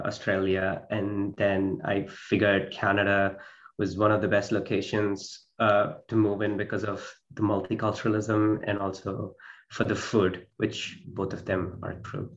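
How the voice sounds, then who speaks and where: A man's voice: on the low side, monotone, measured pace, lo-fi sound. A man from southern India, a rock singer speaking as an interview guest in a hesitant, searching way. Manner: hesitant, full of "you know" fillers. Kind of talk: casual interview.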